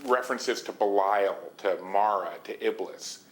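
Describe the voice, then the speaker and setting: An actor playing a grave, measured male investigator in naturalistic game dialogue. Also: on the low side. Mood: concerned. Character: grave male investigator alarmed by hidden cult messages. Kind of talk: naturalistic recorded meeting dialogue. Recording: noisy meeting tape.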